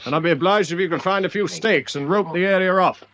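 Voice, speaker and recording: A man's voice: high-pitched, fast talker, fairly bright tone. A man with an English accent playing a brusque, sardonic chief inspector in a radio drama, with curt, dry questioning. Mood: brusque, suspicious.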